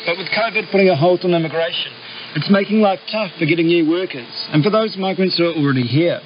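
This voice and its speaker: A man's voice: high voice, fast, crowd noise. A man narrating a scripted radio news report. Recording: muffled, noisy shortwave radio.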